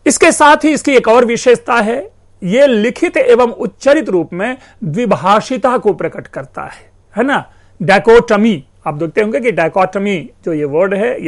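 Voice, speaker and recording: A man with a notably high voice delivers a university-style lecture on language. He explains patiently, calls his listeners friends and leans hard on key words to make a point. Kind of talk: educational lecture.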